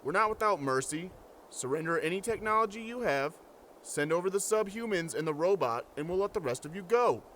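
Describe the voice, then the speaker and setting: An actor playing a cold, superior, formal militant soldier in an audio drama. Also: male voice, sits high, animated, a little fast, a bit bright. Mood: cold command. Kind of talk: post-apocalyptic audio drama. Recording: clearly noisy outdoor-like background.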